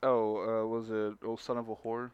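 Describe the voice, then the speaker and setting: A man co-hosting a casual group stream chat, speaking haltingly and often rephrasing as he goes. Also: on the low side, little pitch movement, darkish tone, clear, resonant tone, no echo, dull audio. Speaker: stream co-host.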